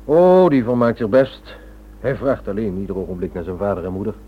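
An actor in a Dutch radio drama playing a cynical, pragmatic criminal accomplice with a sardonic manner. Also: male voice, lowish voice, brisk, husky. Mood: matter-of-fact. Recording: muffled old radio recording.

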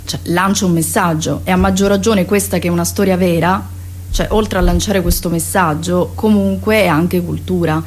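A woman's voice: on the low side, fairly even intonation, normal speed, darkish tone. A woman, a stage actress, talking spontaneously in a radio interview, correcting herself and digressing as she goes.

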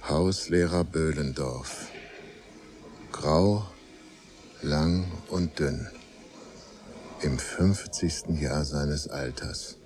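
Extremely deep, slow male narrating voice. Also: darkish tone, a little hiss or hum. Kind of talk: scripted radio drama narration.

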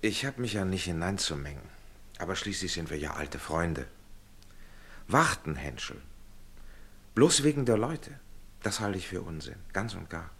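An actor in a German radio play voicing a well-meaning, paternal middle-aged gentleman, husky and emphatic, with educated, persuasive phrasing. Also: sits low, big pitch swings, moderate pace, long pauses, exaggerated voice. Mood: earnest, advising. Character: well-meaning gentleman friend, paternal, urging, somewhat worn.

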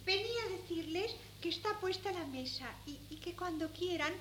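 A timid young maid in a seventies radio comedy, with a very high, bright voice. She is deferential and eager, repeating herself in a fluster, on a slightly noisy cassette. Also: lively intonation, moderate pace. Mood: flustered, shy. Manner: stammering, repetitive, timid. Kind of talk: 1970s Spanish radio comedy acting.

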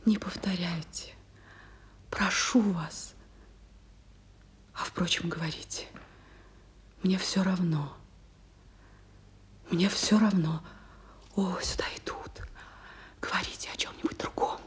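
An actress playing an uneasy, superstitious married woman yielding to forbidden love in a stage drama, hushed and breathy. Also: fairly low pitch, steady tone, slow, pauses often, exaggerated voice. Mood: nervous, yielding. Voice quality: husky, breathy. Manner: hushed, breathy half-whispers. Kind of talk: Russian stage drama acting.

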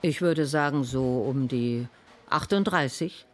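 A woman's voice: rumbling low, measured pace. Indignant woman, querulous, brusque, fussy, easily agitated, shaken crime witness, radio drama. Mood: cooperative.

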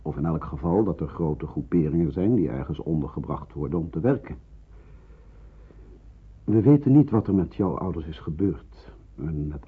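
Deep, husky, fatherly old-radio pastor. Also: exaggerated voice. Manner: measured, solemn, reassuring. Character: kindly, grave Protestant pastor, fatherly and reassuring. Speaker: actor in a radio play. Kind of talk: Dutch radio drama acting. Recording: muffled, phone-like old radio recording.

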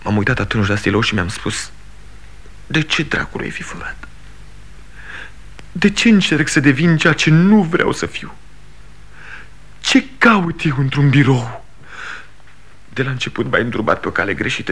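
An actor playing an anguished, bitter grown son, talking with impassioned pleading that sinks to hushed confession in a radio play. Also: male voice, medium pitch, big pitch swings, pauses often. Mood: confessing. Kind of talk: 1960 radio theatre acting.

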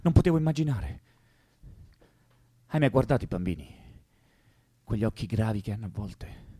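Conscience-stricken young poet, radio drama, raspy, pleading, very animated pitch. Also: male voice, normal speed, no echo, studio quality. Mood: anguished recollection. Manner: fervent, pleading. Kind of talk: theatrical radio drama acting.

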